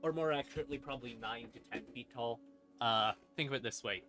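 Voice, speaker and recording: A man streaming an informal lore explainer as a storyteller, with a theatrical, halting delivery and dramatic pauses. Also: mid-range voice, sharp tone. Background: eerie ambient music.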